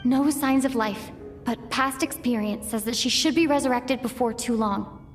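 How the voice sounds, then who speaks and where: A woman's voice: fairly high pitch, normal speed, soft background music, close to an everyday voice. A woman voicing a determined, earnest young female traveler in video game cutscenes, with a youthful, urgent delivery. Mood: worried, grateful. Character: determined young female traveler searching for her kidnapped companion.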